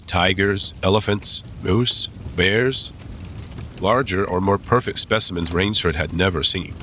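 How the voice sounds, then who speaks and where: A man's voice: sits low, bright, forceful tone, slight background noise. A man narrating a dramatized classic story as an audiobook, reading scripted literary prose.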